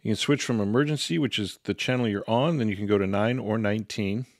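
A man's voice: on the low side, fast talker, dry recording, studio quality. A man giving an instructional product walkthrough in a matter-of-fact, step-by-step way. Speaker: product reviewer and demonstrator.

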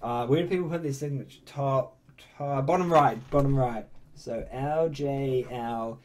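An eighteen-year-old man answering fan questions in a casual, excitable vlog from his bedroom, with his voice jumping high whenever he gets enthusiastic. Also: a little slow, a little hiss or hum. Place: bedroom, indoors.

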